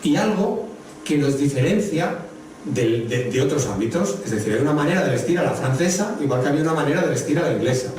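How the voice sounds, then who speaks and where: A man's voice: fairly high pitch, lively intonation, quick pace, a little mellow. A man with a Madrid accent giving a lecture with slides, explaining things directly to the audience. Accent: Madrid Spanish. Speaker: guest lecturer on traditional dress. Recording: echoey hall, distant mic, faint noise.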